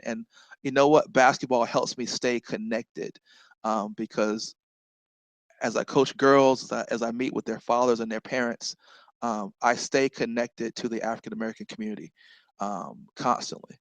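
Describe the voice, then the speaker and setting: A man's voice: mid-range voice, fairly even intonation, normal speed, no room sound. A middle-aged man from North Carolina with a Southern accent, guesting in a casual interview. He talks earnestly, repeats words and often tags "right?" onto his points.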